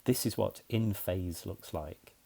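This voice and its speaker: A man's voice: deep voice, slow, gravelly, mellow, slightly rough. A man narrating a scripted explainer in a methodical, plain-spoken way, as a tutorial presenter.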